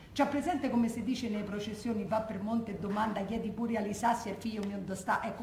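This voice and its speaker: An actress playing an earnest working-class Roman daughter giving testimony on stage, colloquial and insistent, with a Roman accent. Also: female voice, fairly high pitch, darkish tone, close to an everyday voice. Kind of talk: theatrical testimony monologue. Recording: roomy echo, faint background noise.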